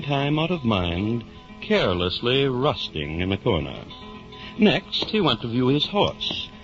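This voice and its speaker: Animated male old-time radio narrator. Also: medium pitch. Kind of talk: storybook narration, 1950s radio drama. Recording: dull vintage radio recording.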